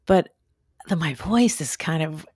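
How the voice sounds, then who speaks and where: A woman podcast host whose voice is limited and strained, speaking in a thoughtful, halting way with many restarts. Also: sits low, lively intonation, darkish tone, slightly rough, no echo, studio quality. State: voice limited and strained after surgery. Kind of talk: conversational interview.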